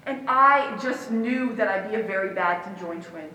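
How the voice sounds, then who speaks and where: A woman's voice: mid-range voice, moderate pace, sharp tone, close to an everyday voice. Actress as a quirky young woman in echoey hall. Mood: earnest, indignant. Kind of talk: comic theatrical stage monologue. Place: stage in a hall. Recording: echoey hall from far off, faint noise.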